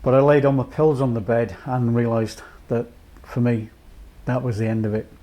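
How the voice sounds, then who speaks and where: A man from North Wales in his late fifties, a charity chief executive giving a measured, reflective personal account with many pauses. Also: medium pitch, fairly even intonation, dark tone. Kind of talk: personal testimony to camera.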